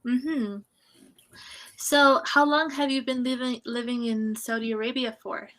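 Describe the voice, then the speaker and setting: Tutor, woman, from Canada, higher pitch with a bright tone, warm encouraging manner, video call. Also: steady tone, a little slow, low-quality recording. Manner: friendly, encouraging, gently corrects. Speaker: English tutor. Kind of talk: online conversation lesson.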